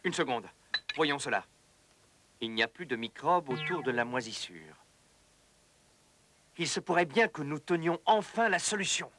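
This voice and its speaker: A man voicing an earnest, thoughtful doctor and researcher in an educational cartoon, speaking in a measured, explanatory way. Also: fairly high pitch, sing-song, bright, forceful tone, slight background noise. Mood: quietly intrigued. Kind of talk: French-dubbed educational cartoon acting. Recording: music under the voice.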